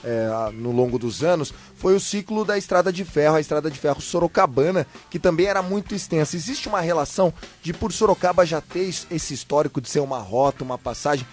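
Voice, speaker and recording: A man's voice: fairly high pitch, expressive, quick pace, hardly any pauses, faint music behind. A Brazilian man hosting a live talk show, interviewing in an informal, conversational way. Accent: Brazilian Portuguese.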